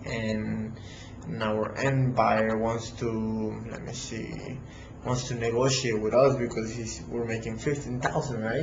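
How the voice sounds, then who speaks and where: A man with a Latin American Spanish accent, a native Spanish speaker, giving a casual how-to talk at his home computer, halting with many fillers and restarts. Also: mid-range voice, reverberant, lo-fi sound, noisy background. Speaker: real estate investor vlogger.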